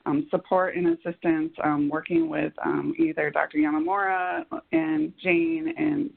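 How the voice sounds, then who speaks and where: A woman presenting slides on a conference call, speaking in halting, piecemeal phrases. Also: deep voice, expressive, vocal fry, slightly rough. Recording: dull, lo-fi conference call audio.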